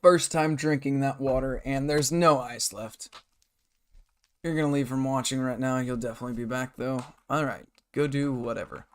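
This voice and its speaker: A hoarse man live-streaming a game from his bedroom desk, rambling and muttering to himself and his chat. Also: medium pitch, steady tone, normal speed. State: hoarse, scratchy throat.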